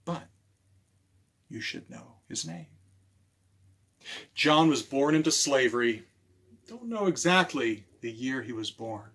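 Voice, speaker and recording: A man hosting a library storytime for children, animated and speaking directly to his listeners as he presents and tells stories. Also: mid-range voice, takes it slowly, vocal fry, pauses often, husky. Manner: animated, repeats key phrases, addresses listeners directly.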